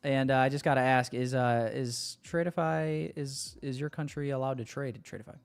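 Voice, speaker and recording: Male streamer, mid-pitched and clean-toned, casual and jokey slang with some swearing, talking to his chat. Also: fairly even intonation, moderate pace, vocal fry, clear, resonant tone. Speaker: livestream host. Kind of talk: casual livestream chat with viewers.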